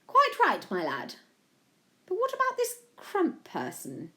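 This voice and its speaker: A woman's voice: high voice, huge pitch swings, takes it slowly, sharp tone, voice pushed up, exaggerated voice. A woman voicing an elderly, posh Queen in a story, regal and old-fashioned in her phrasing, frank and playful. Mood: regal, concerned.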